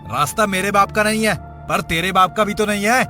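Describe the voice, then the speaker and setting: A man's voice: high-pitched, fairly quick, sharp tone, faint music behind. A man voicing an arrogant, dismissive passerby in a children's cartoon story. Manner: rude, dismissive. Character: arrogant, rude man on the road.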